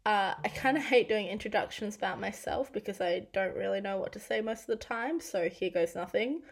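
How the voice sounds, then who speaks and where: A woman in her twenties with an Australian accent, hosting a casual solo podcast with a croaky, raspy voice from losing it this week, chatty and self-deprecating. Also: mid-range voice, brisk. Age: 20s. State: croaky, half-lost voice. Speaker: podcast host.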